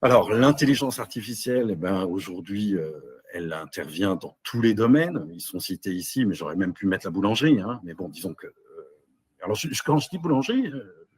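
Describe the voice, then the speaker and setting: Husky man presenting a webinar. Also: on the low side, lively intonation, fairly quick. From Belgium. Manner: hesitant, many fillers and restarts. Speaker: AI trainer. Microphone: video call.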